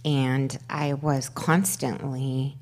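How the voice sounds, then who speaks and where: A middle-aged woman with a loud voice sharing her story on a microphone at a twelve-step meeting, halting, with many fillers and restarts. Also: extremely low pitch, flat delivery. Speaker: panel speaker at a twelve-step workshop. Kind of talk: personal sharing at a recovery meeting. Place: meeting room. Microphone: handheld microphone.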